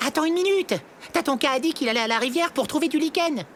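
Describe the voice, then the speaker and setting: A woman voicing an excitable, bossy young male animal in a French cartoon, brash and full of exclamations. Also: high-pitched, fast talker, slight background noise. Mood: eager, bossy. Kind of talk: French cartoon dub acting.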